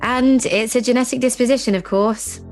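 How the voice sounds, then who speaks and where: A woman playing a composed, commanding ad agency executive in an audio drama, speaking deliberately. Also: a little high, steady tone, a little mellow, soft background music, close to an everyday voice. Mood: knowing, grave.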